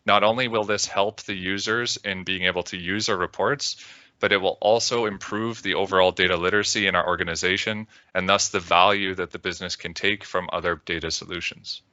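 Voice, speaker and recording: Low-voiced Canadian man presenting. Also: fairly even intonation, bright, forceful tone, dry recording, muffled recording. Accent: Canadian English. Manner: measured, deliberate, with filler "uh"s. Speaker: conference speaker. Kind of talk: presenting a technical talk.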